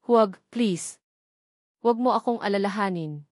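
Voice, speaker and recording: A woman's voice: medium pitch, moderate pace, a little mellow, no room sound, clean studio sound, lightly acted. Woman acting an anguished, frightened young mother. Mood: pleading.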